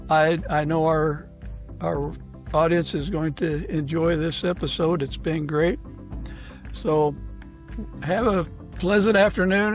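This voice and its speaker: An older man hosting a conversational interview podcast, speaking in a halting, gently courteous way. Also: a little high. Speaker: podcast host.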